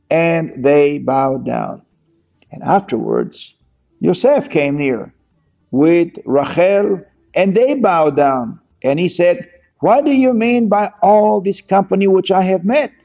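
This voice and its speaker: Slow, gravelly man from Israel preaching. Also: high-pitched, darkish tone. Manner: slow, earnest, reads scripture aloud. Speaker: teacher of scripture. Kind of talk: sermon with scripture reading.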